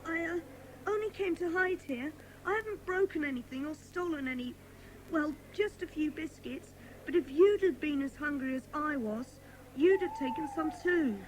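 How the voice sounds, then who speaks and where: A woman's voice: sits high, lively intonation, normal speed, a little hiss or hum, rough recording. A woman playing a frightened but defiant young runaway boy, plaintive and guarded, in a children's audio drama. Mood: wary, defensive.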